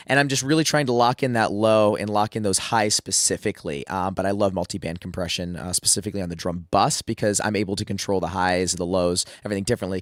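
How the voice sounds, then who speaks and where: A man's voice: lowish voice, fairly bright tone, dry recording, clean studio sound. A man who is sick and losing his voice, casually walking through a drum mixing tutorial with lots of fillers and restarts. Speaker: music producer teaching drum mixing. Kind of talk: screen-share mixing tutorial.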